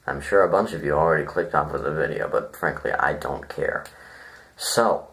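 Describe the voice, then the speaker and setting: A man giving an informal, rambling critique to viewers, deadpan and self-deprecating, halting as he thinks aloud. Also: very deep voice. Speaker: video reviewer.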